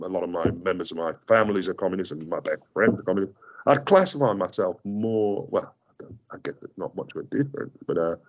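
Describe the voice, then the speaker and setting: A man whose first language is Greek, speaking as an interview guest in a hesitant way, with many fillers and restarts. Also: on the low side. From South Africa. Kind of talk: casual interview talk.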